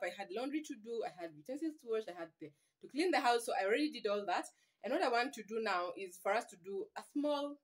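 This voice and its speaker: Kenyan girl vlogging from her room, phone held out, casual and chatty, slips in swahili words. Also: medium pitch. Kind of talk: casual vlog chat to camera. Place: small room at home, indoors. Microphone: phone camera at arm's length.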